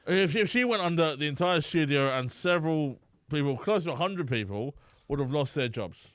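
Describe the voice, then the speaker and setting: A man's voice: a little high, expressive, moderate pace, fairly bright tone, no room sound. A man co-hosting a podcast, arguing his point in casual banter, with frequent laughs and restarts. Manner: argumentative, laughs often, rambling restarts. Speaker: podcast co-host.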